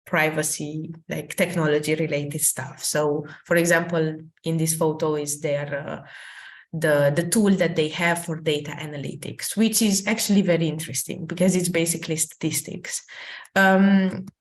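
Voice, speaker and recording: Young woman, from Romania, non-native English, lowish voice, hesitant, lots of ums, video call. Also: steady tone, moderate pace, slight background noise. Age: young adult. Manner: hesitant, many fillers and restarts. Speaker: career day speaker. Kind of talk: informal presentation with slides.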